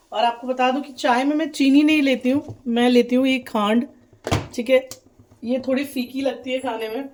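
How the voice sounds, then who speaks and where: A woman's voice: a little high, a little hiss or hum. A young woman chatting casually to viewers while cooking in her home kitchen, explaining each step as she goes. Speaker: vlogger. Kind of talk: casual cooking vlog chat.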